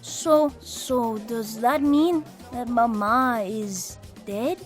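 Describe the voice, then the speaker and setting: A woman voicing an eager, curious young child in an animated film, wide-eyed and full of questions. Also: fairly high pitch, extremely slow, soft background music. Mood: puzzled, worried.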